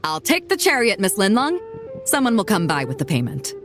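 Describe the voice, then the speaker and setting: A woman's voice: medium pitch, huge pitch swings, a little fast, music in the background. A woman voicing a bold, generous female ship captain who talks casually and laughs easily, in a game cutscene.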